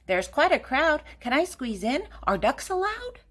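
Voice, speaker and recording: A woman's voice: sits high, very animated, measured pace, fairly bright tone, pitched above the performer's own voice, heavily acted. A woman voicing a polite, hopeful duck in a children's storybook.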